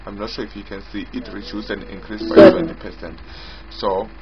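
A man giving an informal screen-recorded software demo as its developer, in a halting way, often repeating and rephrasing. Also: fairly low pitch. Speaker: software developer demonstrating own app. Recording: dull lo-fi mic with prominent music.

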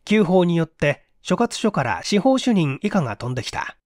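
A man's voice: a little high, big pitch swings, moderate pace, darkish tone, dry recording, clean studio sound. A man reading a mystery story as dramatic, suspenseful, theatrical audiobook narration. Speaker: audiobook reader.

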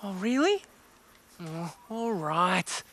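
A woman's voice: lowish voice, very animated, slow pace. A woman voicing a shy young male peacock who worries about his big tail, with a sing-song, earnest delivery. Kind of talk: children's storybook acting.

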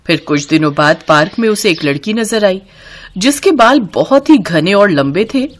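A woman's voice: mid-range voice, expressive, normal speed. A woman narrating a cartoon story in a scripted storybook style.

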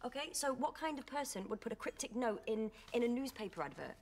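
A sharp-tongued young woman, quick and teasing, with an indignant edge. She sits at a table on a period restaurant set, recorded on a film boom mic. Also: very animated. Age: young adult. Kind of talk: scripted TV drama acting.

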